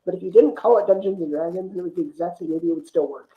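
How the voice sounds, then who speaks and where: A man's voice: high voice, rapid-fire, dull audio. A man talking casually and opinionatedly about films as a podcast guest. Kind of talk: casual film chat.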